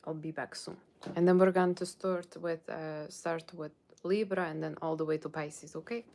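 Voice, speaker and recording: A woman's voice: deep voice, steady tone, moderate pace, creaky, darkish tone. A woman with a Russian accent chatting casually and informally to livestream viewers as a tarot reader, in a rambling way. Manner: rambling, informal, often checking with "okay".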